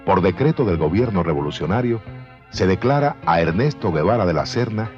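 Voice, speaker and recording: A man narrating a documentary in a solemn, measured way. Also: deep voice, animated, a little mellow. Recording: dull sound over music.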